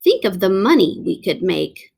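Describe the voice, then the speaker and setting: A woman voicing a greedy male mouse scientist, squeaking and announcing in a loud, drawn-out way as she reads a storybook aloud. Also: big pitch swings, vocal fry, slightly rough, exaggerated voice. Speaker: teacher reading aloud.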